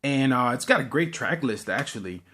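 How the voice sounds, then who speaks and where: A man gives a casual, unscripted show-and-tell in a medium-pitched voice. He talks in a halting, rambling way, often repeating words as he goes. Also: animated, bright, forceful tone, slight background noise. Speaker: vlogger.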